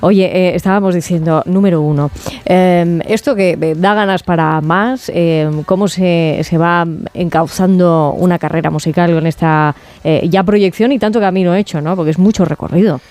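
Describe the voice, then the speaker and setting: A man's voice: high voice, steady tone, moderate pace, a little mellow. A man with a Castilian Spanish accent hosting a casual group interview in a playful, informal way. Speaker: interview host.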